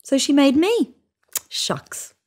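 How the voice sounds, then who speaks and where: A woman in her thirties reading a children's picture book aloud in an expressive, child-directed way. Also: high voice, takes it slowly. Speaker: storybook reader. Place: small room indoors, seated on a couch.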